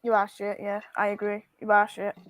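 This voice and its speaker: A woman's voice: mid-range voice, monotone, normal speed. A woman hosting a football watchalong, giving earnest match analysis and teasing her co-host. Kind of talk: casual football chat.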